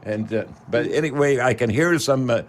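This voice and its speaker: A man's voice: mid-range voice, moderate pace, a little hiss or hum. A man hosting a live call-in radio show in a folksy, rambling way with hesitant phrasing. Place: radio studio.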